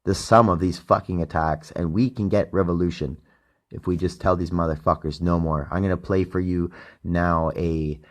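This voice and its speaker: A deep-voiced Canadian man talks through a loose solo podcast monologue. He rambles and swears freely, his words sometimes sinking into a mutter and then jumping up into loud, emphatic lines. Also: fairly even intonation, normal speed. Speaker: podcast host. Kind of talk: casual late-night solo monologue.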